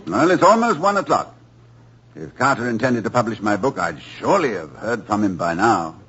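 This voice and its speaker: An actor playing a genteel, witty college president with a dry, urbane manner, in 1940s radio acting on a dull old broadcast recording. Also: male voice, on the low side, big pitch swings, normal speed, fairly bright tone, a little hiss or hum. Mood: wry. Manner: urbane, dry wit, chuckles often.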